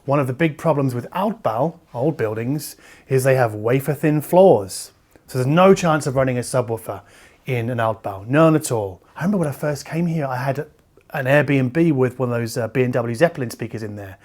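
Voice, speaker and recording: A man's voice: mid-range voice, animated, moderate pace, darkish tone. A man hosting an audio vlog, explaining things in a casual, conversational way. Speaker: host of an audio review channel.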